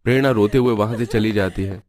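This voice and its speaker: A man's voice: on the low side, quick pace, a little mellow, faint music behind. A man giving scripted narration for a cartoon story in Hindi.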